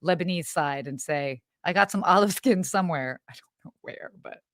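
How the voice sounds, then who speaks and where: A woman co-hosting a podcast in casual live chat, with an animated, giggly, exclamatory way of talking. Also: lowish voice, moderate pace, no room sound, studio quality. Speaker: podcast co-host.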